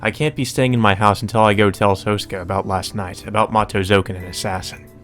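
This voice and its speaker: A man's voice: on the low side, fairly even intonation, a little fast, a bit bright. A man hosting a let's play, reading a visual novel aloud and adding casual commentary. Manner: casual, profane asides. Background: music.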